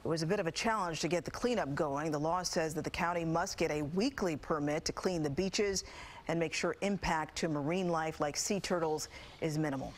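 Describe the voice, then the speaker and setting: A woman's voice: low voice, brisk, a little hiss or hum. A woman with an American accent reads scripted TV news as an anchor. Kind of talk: scripted newscast narration.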